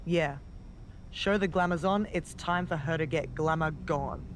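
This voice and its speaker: A man's voice: on the high side, unhurried, background noise, natural voice. A man playing a wry, coaxing backstage adviser in a wrestling video game. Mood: wry urging. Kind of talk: video game cutscene acting.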